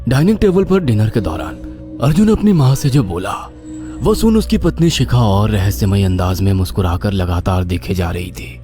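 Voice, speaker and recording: A man's voice: lowish voice, expressive, moderate pace, dark tone, soft background music. A man narrating a scripted horror story in a dramatic style.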